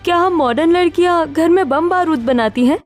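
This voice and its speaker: A spirited young modern woman with schemes in mind, from a story drama, acted by a woman. Her voice is high and her delivery expressive. Faint music plays behind her. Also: brisk. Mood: indignant. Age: young adult. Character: spirited, scheming young modern single women seeking housing. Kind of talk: acted Hindi story dialogue.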